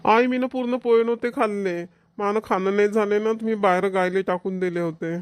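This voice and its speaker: A woman's voice: mid-range voice, steady tone, fairly quick, no room sound, natural voice. Bossy cartoon schoolboy, dry and close woman acting. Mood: whiny. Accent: Maharashtrian, rural Vidarbha dialect. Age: child character, about eleven. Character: bossy schoolboy older brother, sixth grader. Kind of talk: Marathi cartoon comedy dialogue.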